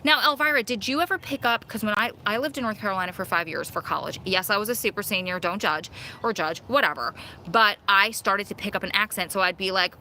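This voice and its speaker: A woman's voice: medium pitch, fast talker, bright, forceful tone, slight background noise. A woman in her thirties with a New York and New Jersey accent, chatting casually on a live stream, reading viewer comments and laughing at herself. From Long Island, New York. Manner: chatty, laughs often, self-mocking. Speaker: vlogger hosting a live Q&A.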